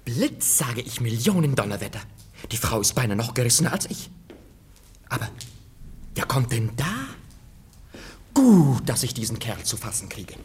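A man's voice: mid-range voice, big pitch swings, moderate pace, long pauses, a little mellow, slightly rough. An actor, a man, playing a blustering, self-important and foolish rustic servant in a comic radio play. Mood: indignant. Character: boastful, blabbering sly-dim male rustic servant.

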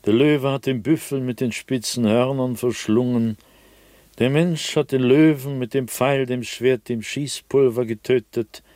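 An actor playing a tormented, desperate man obsessed with an unseen being, in a theatrical, halting German radio drama monologue. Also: mid-range voice, a little mellow, dry recording, lightly acted. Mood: grim resolve. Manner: halting, repetitive, dramatic, with anguished emphasis.

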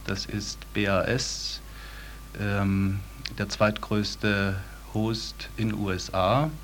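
A man giving formal interview answers as a business manager, hesitant and halting. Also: fairly low pitch, a little hiss or hum. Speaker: sales director being interviewed.

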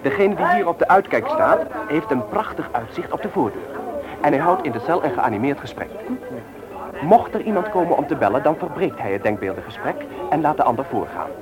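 An actor playing a cocksure, bossy young ringleader in a radio play, instructing with firm emphasis. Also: male voice, on the high side, big pitch swings, a little fast, over a noisy crowd, rough recording. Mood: confident, instructing.

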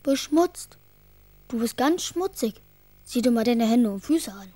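A woman's voice: on the high side, animated, measured pace, mellow, no echo. A woman playing an eager, caring, slightly bossy young boy in a children's radio play. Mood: matter-of-fact.

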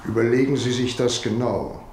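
A man's voice: mid-range voice, steady tone, moderate pace, darkish tone, close to an everyday voice. An actor playing a blunt but concerned man in a German radio play, speaking firmly and formally. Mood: grave, urging. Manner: firm, formal, plain-spoken. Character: blunt but concerned man urging old rancher to leave. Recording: roomy, clearly noisy background.

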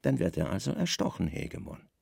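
A stern, cunning commander of a Roman secret service, acted by a man for radio. The voice is low and creaky in a dry recording, formal and deferential toward his superior. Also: very animated, a little fast, darkish tone, no echo, exaggerated voice. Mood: devoted, stern. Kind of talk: radio play dialogue.

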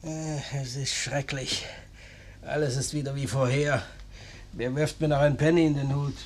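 A man's voice: mid-range voice, fairly even intonation, normal speed, darkish tone, close to an everyday voice. A man playing a wry, hard-up beggar in a 1950s radio play, plaintive and sardonic. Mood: wry complaint. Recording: noisy old radio recording.

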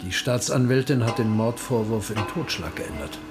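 An actor playing a sly, needling police interrogator in a radio crime drama, ironic and mock-casual. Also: male voice, lowish voice, animated, normal speed, over music, natural voice. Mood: sly, probing.

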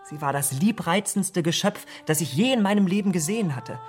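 An actor playing an impressionable, vain young gentleman in a German radio drama, speaking in an earnest, rapturous way. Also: high-pitched, expressive, a little fast, soft background music. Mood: enraptured.

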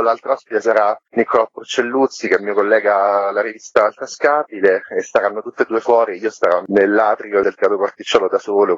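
A man's voice: lowish voice, dry recording. A man being interviewed on the radio, explaining things in a colloquial, wry, self-deprecating way. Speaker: interview guest, book series curator. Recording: muffled, lo-fi remote radio link.

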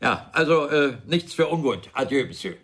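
A man's voice: medium pitch, expressive, moderate pace, a bit bright, husky. Sardonic, blustering older father. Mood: indignant, scornful. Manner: sarcastic, blustering. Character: sardonic, bitter, well-off father, widowed businessman. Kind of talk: German radio crime drama acting.

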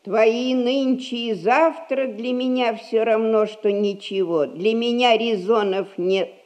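An actress playing a stern, rich old grandmother who rules a merchant household, speaking in measured, folksy old-fashioned phrases in a stage comedy. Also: fairly high pitch, steady tone, a little mellow. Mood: coolly stubborn. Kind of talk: Russian stage comedy recorded as radio play.

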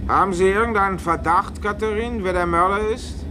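Male actor as village police commissioner, fairly high pitch, insistent questioning, radio play dialogue, noisy car background. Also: brisk, lightly acted. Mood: probing. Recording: car and outdoor noise behind. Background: vehicle noise.

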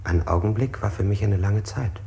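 An actor playing a haunted man under interrogation in a German radio play, speaking deliberately and correcting himself. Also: fairly low pitch, creaky, warm tone. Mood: haunted, measured. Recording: hissy 1960s radio play recording.

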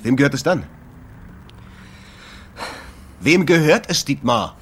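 A man's voice: medium pitch, expressive, fairly quick, fairly bright tone, breathy edge. An actor playing a sardonic, insistent man who runs a jazz club and asks terse, probing questions in a German radio drama. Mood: disbelieving, pressing. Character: insistent male jazz club owner, sardonic amateur investigator.

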